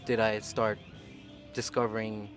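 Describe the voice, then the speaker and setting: A man's voice: fairly low pitch, flat delivery, unhurried. Brief: male drummer from Sri Lanka, easygoing storyteller, music in background. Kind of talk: casual interview answers. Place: music club.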